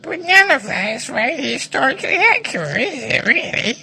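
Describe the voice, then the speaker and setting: Squeaky, swooping, chirpy little ghost. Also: male voice, very animated, fairly quick, gravelly, pushed and bright, slightly rough, heavily acted. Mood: querulous. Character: chirpy squeaky ghost named Dennis, popping in to greet.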